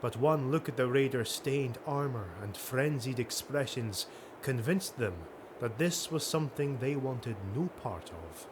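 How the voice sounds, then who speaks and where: A man's voice: mid-range voice, background noise. A man reading a fantasy audiobook as dramatic scripted narration.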